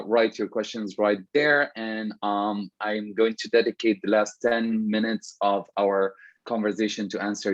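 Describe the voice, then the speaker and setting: A man's voice: lowish voice, dry recording. A man from Syria moderating a panel over a video call, chatty and full of fillers and restarts, speaking from a room at home. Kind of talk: casual panel conversation. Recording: dull video call audio.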